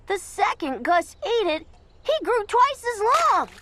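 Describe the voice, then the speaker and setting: A woman reading a children's picture book aloud in an expressive, theatrical storytelling manner, over background noise. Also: very high-pitched, unhurried. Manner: theatrical, dramatic delivery for children.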